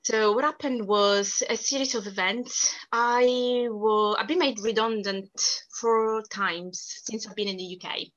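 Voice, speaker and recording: Bright-voiced Italian woman, earnest. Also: a little high, steady tone, lo-fi sound. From Sicily, Italy. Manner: earnest, emphatic, quick restarts. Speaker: podcast guest. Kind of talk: casual interview talk.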